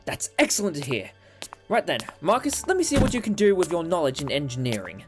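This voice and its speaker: A man acting a brisk, upbeat time-travelling alien adventurer in a fan audio drama, playful with hesitant asides. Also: fairly high pitch, sing-song. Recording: loud music behind.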